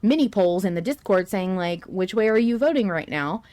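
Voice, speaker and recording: Female podcast co-host, mid-pitched, informal and opinionated, in relaxed discussion. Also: normal speed. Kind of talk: casual podcast discussion of a fiction show.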